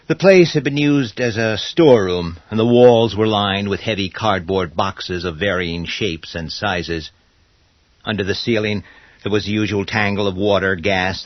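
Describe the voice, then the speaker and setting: Deep-voiced male horror narrator. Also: no echo. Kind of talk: scripted horror story narration. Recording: dull audio with faint music.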